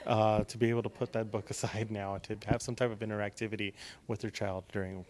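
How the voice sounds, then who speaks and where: A male host with a lowish voice conducts a casual promotional interview. He is complimentary and encouraging as he puts his questions. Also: little pitch movement, fast, darkish tone.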